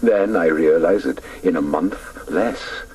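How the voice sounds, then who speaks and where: An actor narrating a radio play as a man recalling his boyhood, in a hushed, grave, reflective way. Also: low voice, slow pace, slightly rough, heavily acted. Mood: wistful.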